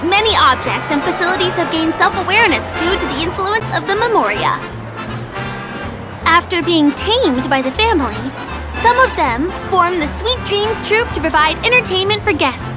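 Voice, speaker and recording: A young female tour guide from a video game. Her voice is high and bright with youth, bubbly and eager in manner. She chats like a friend showing off the sights, over prominent music. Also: lively intonation, normal speed. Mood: upbeat. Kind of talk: video game character voice acting.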